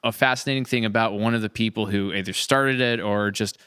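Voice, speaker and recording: Male podcast host, low smooth voice, rambling and enthusiastic, conversational. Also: fairly even intonation, a little fast, bright, forceful tone. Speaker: podcast host, audio drama creator.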